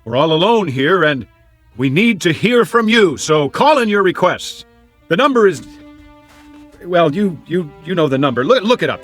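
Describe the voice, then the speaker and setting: An American actor in a radio drama playing a confused, lonely amnesiac Air Force man who talks to himself in halting, stammering repeats. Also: high voice, a bit bright, exaggerated voice. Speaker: lead actor. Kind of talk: dramatic radio play acting.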